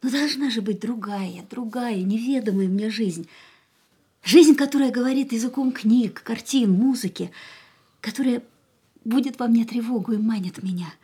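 Actress playing resolute anguished woman, high voice, pleading, earnest, dramatic radio play delivery. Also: normal speed, mellow, close to an everyday voice. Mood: yearning, insistent. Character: anguished, resolute woman leaving the man she loves. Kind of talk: Russian radio play acting.

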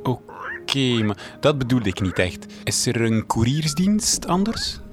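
A man's voice: medium pitch, sing-song, measured pace, music in the background. A man with a Flemish accent playing a polite, earnest, matter-of-fact reporter in a comic radio drama. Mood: polite puzzlement.

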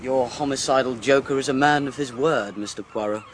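Actor as smug inspector, noisy background. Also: male voice, mid-range voice, fairly quick, natural voice. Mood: smug, brisk. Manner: clipped, dry, self-satisfied. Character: self-assured, sardonic British police inspector. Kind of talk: radio drama dialogue.

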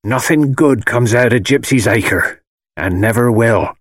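A man's voice: mid-range voice, a little slow, no echo, studio quality, voice pushed up, natural voice. A man voicing a malicious, wheedling old woman who tells fortunes, with rustic dialect speech and ominous insistence, in an audiobook. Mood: curt, dismissive. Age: older. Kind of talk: dramatised audiobook character voice.

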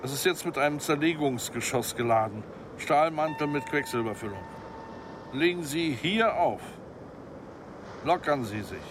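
A man's voice: fairly high pitch, lively intonation, normal speed, noisy background, lightly acted. An actor in a radio play voicing a businesslike male arms expert and professional killer, methodical and formally polite in his instructions. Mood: calm, instructing.

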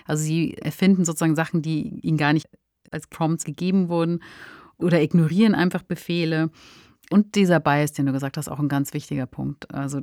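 A woman's voice: low voice, fairly even intonation, a little fast, a little mellow, no echo. A woman co-hosting a podcast, speaking in an articulate, explanatory way on a close microphone. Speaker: podcast host. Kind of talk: credits and conversational discussion.

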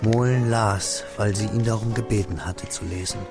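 An actor playing the narrator of a literary radio drama, telling the story of a diary in a sombre, measured way. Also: male voice, fairly low pitch, steady tone, music in the background, natural voice. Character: sombre male narrator piecing together a friend's diary.

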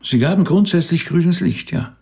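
Creaky-voiced, earnest rabbi. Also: male voice, fairly high pitch, animated, normal speed, warm tone. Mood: agitated, earnest. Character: harried, earnest Swiss rabbi confiding in his wife. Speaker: actor in a radio play. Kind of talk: naturalistic radio drama dialogue.

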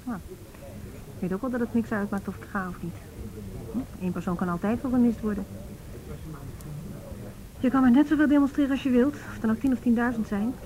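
An actress plays a young female student activist in a radio drama. She is cynical and argumentative, with a fairly high voice and natural conversational delivery. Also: fairly quick, long pauses, dark tone, lightly acted, rough recording. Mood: gloomy, cynical. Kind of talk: naturalistic Dutch radio play dialogue.

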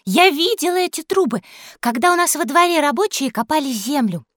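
A pert, know-it-all little girl in an educational audio play. Her voice is very high and full of swoops, recorded dry and close. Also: lively intonation, brisk, fairly bright tone, no echo. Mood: eager. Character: bright, observant, teasing little girl, Masha.

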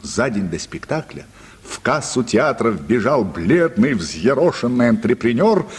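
A male narrator reads a story for a radio drama in a husky, middle-pitched voice. His delivery is animated and expressive, with a long reverberant tail around it. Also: a little slow. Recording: reverberant studio hall sound.

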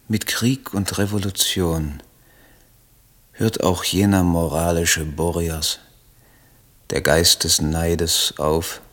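An idealistic, troubled poet in a radio play, with a low voice. He declaims solemnly and haltingly, as if reading out his own letters. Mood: dreamy, hopeful. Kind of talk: literary radio play acting.

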